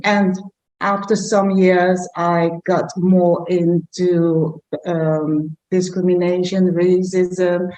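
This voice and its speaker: A woman from Aruba, a project leader for inclusion, introducing herself on a video call in an earnest, halting way. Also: lowish voice, a little mellow, low-quality recording. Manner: earnest, pausing to find words. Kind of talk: casual self-introduction.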